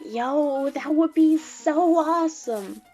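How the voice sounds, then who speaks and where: A woman playing an excitable, friendly young monster child in an audio drama, with an enthusiastic, slangy way of talking. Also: high-pitched, slow, highs cut off, faint music behind. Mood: excited.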